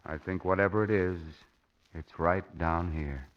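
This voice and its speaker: Low-voiced brusque commissioner, 1940s radio drama, sarcastic, dull hissy old recording. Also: male voice, a little slow. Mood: brisk, grim. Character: gruff, sarcastic middle-aged police commissioner. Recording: muffled, noisy, clicking 1940s radio recording.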